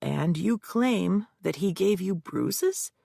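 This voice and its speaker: A cold, needling male defense lawyer, read by a woman who grinds out every question in a low, gravelly voice. The sound is close and bone-dry, as in a studio audiobook reading. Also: lively intonation, slow pace, warm tone, no echo, exaggerated voice. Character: aggressive male defense lawyer cross-examining.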